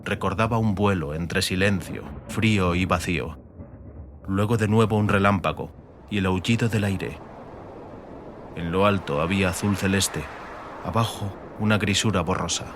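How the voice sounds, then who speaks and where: Low-voiced Castilian male audiobook narrator. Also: moderate pace, noisy background. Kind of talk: scripted dramatic narration of fantasy fiction.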